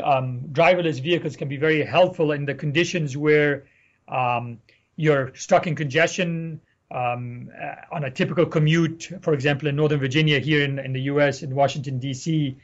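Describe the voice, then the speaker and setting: A middle-aged man from Egypt, a researcher answering interview questions in a measured, explanatory way with frequent ums. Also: a little high, muffled recording. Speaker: researcher guest.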